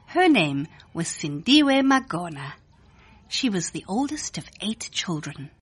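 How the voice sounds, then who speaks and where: A woman reading a children's storybook aloud as a scripted narration, with deliberate, expressive phrasing. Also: lowish voice, breathy edge.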